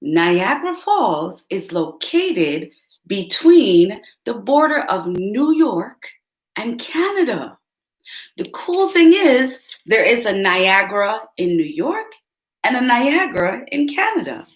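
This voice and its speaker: Woman, children's book read-aloud, slow and careful, roomy echo. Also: fairly high pitch, very animated. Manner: speaks directly to young listeners, asks them questions.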